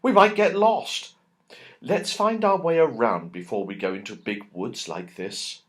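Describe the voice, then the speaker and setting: A man's voice: medium pitch, a little slow, lightly acted. A man voicing an earnest, thoughtful young boy in a children's storybook. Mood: earnest, cautious. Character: thoughtful, cautious young boy, eager to explore.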